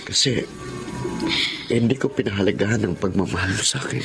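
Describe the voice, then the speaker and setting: An actor playing a guilt-ridden, apologetic young man in a radio romance drama, speaking in a halting, subdued way. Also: on the low side, gravelly. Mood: remorseful. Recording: background music under the voice.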